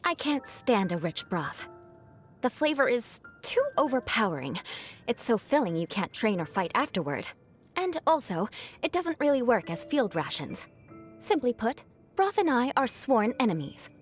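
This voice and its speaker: A woman's voice: on the high side, very animated, moderate pace, soft background music. A young woman voicing a proud, haughty noblewoman knight in a video game, an outcast bent on revenge, with formal, dramatic diction. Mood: composed, matter-of-fact. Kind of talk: video game character voice lines.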